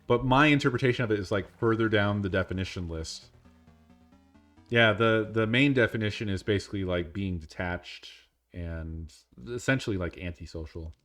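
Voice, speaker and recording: A man with a low, deep voice chats casually while he plays a game on stream. His delivery is flat and monotone, and he never rushes. Soft music plays quietly behind him. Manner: monotone, unhurried talk.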